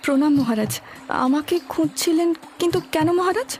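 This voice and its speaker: A woman's voice: high voice, animated, normal speed, a little mellow, a little hiss or hum. A woman voicing a timid young orphan maiden in a cartoon, respectful and hesitant in her speech. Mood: shy, respectful. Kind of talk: dramatic cartoon dubbing.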